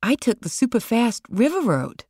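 A reader voicing a high, gentle, boyish man. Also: very animated, moderate pace, breathy edge, dry recording, clean studio sound, heavily acted. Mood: eager, reassuring. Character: gentle, boyish, eager-to-please male celebrity on the run.

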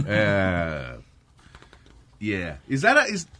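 A male radio host telling stories in casual, animated chat, switching between languages and laughing. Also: fairly low pitch, very slow, no room sound.

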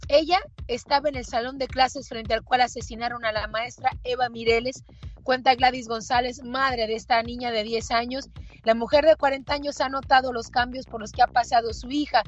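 High, husky Mexican woman host. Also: steady tone, a little fast, dull audio. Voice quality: husky, hoarse-edged voice. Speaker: show host. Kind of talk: conversational radio-style talk.

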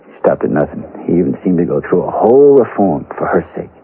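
A man's voice: low voice, very animated, fast talker, husky, exaggerated voice. An actor playing an earnest small-town western man in a 1970s radio drama, with a folksy, hesitant yet emphatic way of telling a story. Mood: earnest, reflective. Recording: muffled vintage radio broadcast recording.

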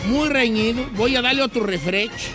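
A man's voice: very high-pitched, normal speed, music in the background. A man hosting a call-in radio show, taking callers with joking, slangy banter. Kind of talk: playful rapid banter taking calls.

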